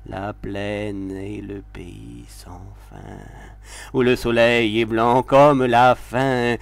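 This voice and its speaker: A man giving a dramatic literary recitation of poetry, with solemn, deliberate and expressive phrasing. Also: fairly low pitch, gravelly, slight background noise. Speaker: poetry reader.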